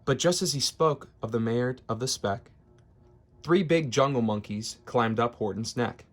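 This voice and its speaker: Guy reading a kids rhyming book out loud, expressive, medium pitch. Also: unhurried, faint music behind. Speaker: storybook reader. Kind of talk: expressive read-aloud of rhyming children's story.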